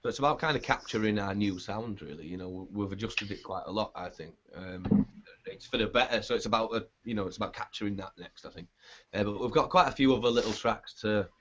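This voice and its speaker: A male band singer with a scratchy, raspy voice, chatting in a laid-back, rambling way in an interview and swearing casually. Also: fairly low pitch, fast. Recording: dull lo-fi sound.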